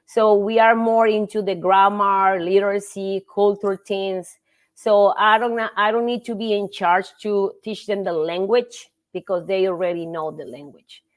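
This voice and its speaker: A middle-aged woman from Costa Rica with a Costa Rican Spanish accent, a native Spanish speaker talking about her teaching in a casual interview, often pausing to search for words. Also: mid-range voice, fairly even intonation. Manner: warm, searches for words, frequent fillers.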